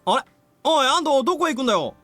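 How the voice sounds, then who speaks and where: A voice actor playing a brash, casual high school classmate in an anime-style visual novel. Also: sing-song, very fast, a bit bright, no room sound. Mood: puzzled. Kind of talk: Japanese visual novel voice acting. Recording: dry studio voice over game music.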